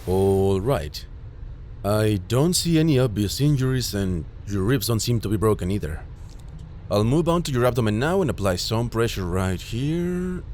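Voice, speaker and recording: Man acting as caring low-voiced medic, lively intonation. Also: normal speed, a little hiss or hum, natural voice. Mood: calm coaching. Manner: gentle, reassuring, coaxing. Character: caring, worried male ambulance medic soothing an injured patient. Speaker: voice actor. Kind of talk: immersive audio roleplay acting.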